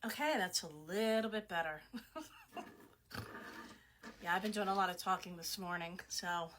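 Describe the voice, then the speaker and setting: A middle-aged woman who runs a small shop, chatting casually as she shows off products, her voice going hoarse, with frequent fillers and laughs. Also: medium pitch, unhurried, a bit bright, slight background noise.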